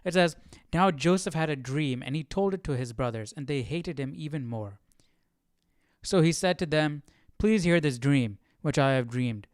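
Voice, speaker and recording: A man with a fairly high, smooth voice chats through a study session on a livestream. He muses and questions aloud in a casual way, sounding close and dry. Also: moderate pace, no room sound. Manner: questioning, musing to himself. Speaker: host of a Bible study livestream.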